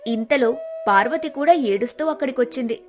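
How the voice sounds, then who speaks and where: A woman's voice: sits high, fairly quick, soft background music. A woman narrator telling a scripted folk tale.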